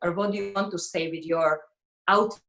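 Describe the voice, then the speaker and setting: A woman in her forties gives a conversational interview as a guest. Her English carries a Slovak accent, and her voice sits a little on the low side. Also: steady tone, a little fast, fairly bright tone. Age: 40s. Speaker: interview guest, fashion model.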